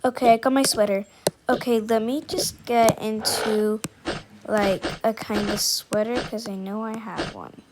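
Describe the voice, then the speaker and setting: A young woman improvising a roleplay voiceover in a halting, unscripted way, often talking to herself. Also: on the high side, fairly even intonation. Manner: unscripted, halting, with repeated self-talk. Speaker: video creator voicing a roleplay. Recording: noisy home recording with clicks.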